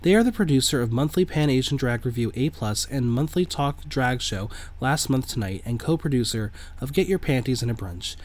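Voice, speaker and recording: A man's voice: mid-range voice, lively intonation, a little fast, few pauses, a little mellow. Man giving a scripted introduction. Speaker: voice-over announcer introducing the guest. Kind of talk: scripted narration.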